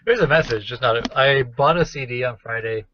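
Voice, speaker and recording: A man's voice: mid-range voice, fairly quick, background noise. A man chatting casually and wryly as a podcast co-host, telling anecdotes. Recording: dull, lo-fi captured call audio.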